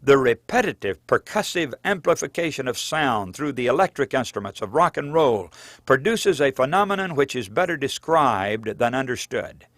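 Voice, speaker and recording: A man reading his own book aloud as scripted audiobook narration, in an earnest, measured preaching cadence. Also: medium pitch, sing-song, a bit bright, dry recording.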